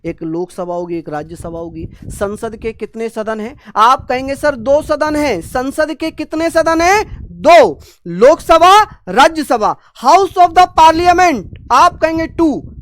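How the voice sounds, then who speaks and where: A woman's voice: high voice, a bit bright, a little hiss or hum. A woman teaching an exam coaching class. She repeats key terms, asks the students questions and answers for them, and mixes in English terms. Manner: acts out students' answers in call and response. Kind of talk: online classroom lecture.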